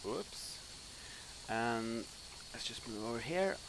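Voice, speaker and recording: A young man with a Norwegian accent casually commenting on his flight-sim gameplay, relaxed and rambling with lots of fillers. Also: mid-range voice, takes it slowly, very noisy. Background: music playing underneath.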